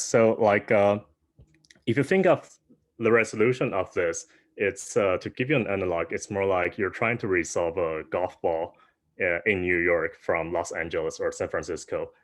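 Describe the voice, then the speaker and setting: A young man from Taiwan giving an online academic talk, soft-spoken with many fillers and restarts, over a video call. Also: low voice, no room sound. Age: young adult. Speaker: guest speaker.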